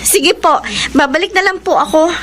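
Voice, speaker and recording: A woman's voice: extremely high pitch, moderate pace, a bit bright. A woman playing a caring, respectful young daughter in a Filipino radio drama. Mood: tentative. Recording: noticeable background noise.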